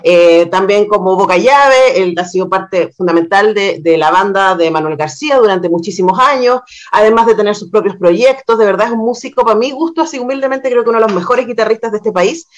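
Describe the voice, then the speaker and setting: A woman's voice: mid-range voice, brisk, hardly any pauses. A woman with a Chilean accent hosting a radio interview in a conversational style. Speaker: radio host, journalist.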